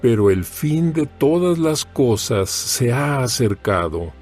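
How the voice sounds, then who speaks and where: A man reads scripture in a solemn, deliberate way, taking his time over each phrase. His pitch moves widely, giving the dramatized narration an animated contour. Faint music plays behind him. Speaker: Bible audio narrator. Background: background music.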